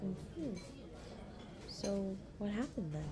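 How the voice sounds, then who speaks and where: A woman acts out a young woman writer on a first date, playful and a little coy. Her medium-pitched voice teases and hesitates in an intimate, one-sided audio drama. A clear murmur of other people sits behind her. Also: big pitch swings, a loud crowd. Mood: gently curious. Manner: coy, teasing, hesitant pauses and soft laughs.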